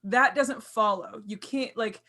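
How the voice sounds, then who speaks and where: A woman with a slightly high voice speaks casually as a panelist. She works out her point aloud, stressing words and stopping to restart. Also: a little slow, pushed and bright. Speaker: podcast panelist. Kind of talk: casual discussion.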